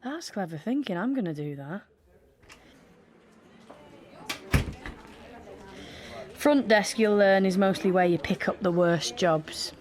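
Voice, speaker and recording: A woman's voice: medium pitch, animated, moderate pace, slight background noise, natural voice. An actress playing a seasoned British policewoman in an audio drama, dry and informal as she mentors a newcomer. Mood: chatty, wry. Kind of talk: naturalistic audio drama acting.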